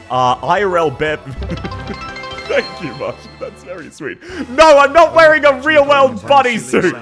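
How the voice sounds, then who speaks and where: Giggly Australian man with a lively voice. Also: sits high. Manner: giggly, thanks viewers warmly. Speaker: streamer. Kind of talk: casual livestream chat.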